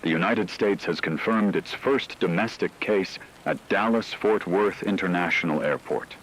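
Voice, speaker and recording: Man as grave radio anchor for apocalypse drama: low voice, clinical, formal bulletin delivery, light noise underneath. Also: normal speed, a bit bright, rough recording. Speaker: news anchor. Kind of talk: scripted breaking news radio report.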